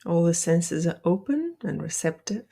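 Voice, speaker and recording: A woman leading a guided meditation, giving gentle, deliberate instructions with soft, breathy phrasing. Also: lowish voice, big pitch swings.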